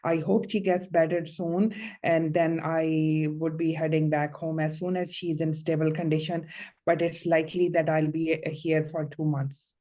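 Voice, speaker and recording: A woman with a somewhat low voice answers questions at length in a mock hearing role-play. She explains calmly and steadily, with the odd filler. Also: normal speed. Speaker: student playing a visitor client in a mock hearing.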